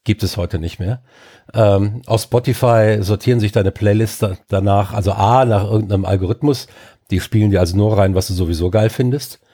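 A man's voice: on the low side, fairly even intonation, a little fast, warm tone, husky. An older man and podcast co-host with a stuffy nose from a cold, ranting emphatically in casual chat with many fillers.

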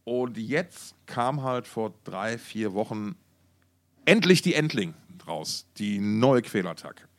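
A man's voice: lowish voice, lively intonation, takes it slowly. A man co-hosting a music podcast in casual, slangy talk, often thinking aloud and repeating himself mid-phrase. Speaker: podcast co-host.

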